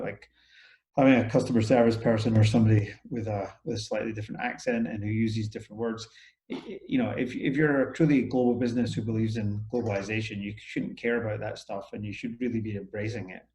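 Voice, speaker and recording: A man's voice: lowish voice, steady tone, fast, darkish tone, echoey room. A middle-aged man with a Scottish accent, talking thoughtfully as an interview guest, often restarting his sentences. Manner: thoughtful, many restarts and fillers. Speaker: outsourcing company CEO, guest. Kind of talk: casual interview talk.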